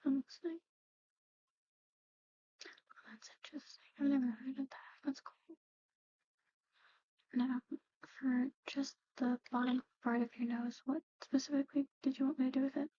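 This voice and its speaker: Softly whispering woman, high muted voice. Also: steady tone, dry recording, highs cut off. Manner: soft-spoken, often slipping into whispers, hesitant and halting. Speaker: ASMR performer. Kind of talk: whispered ASMR roleplay.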